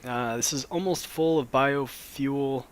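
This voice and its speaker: A man, a little hoarse after a cold, casually explaining a modded game as he plays, with plenty of ums and restarts. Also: mid-range voice, takes it slowly. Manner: relaxed, rambling, lots of fillers. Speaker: Minecraft let's play host.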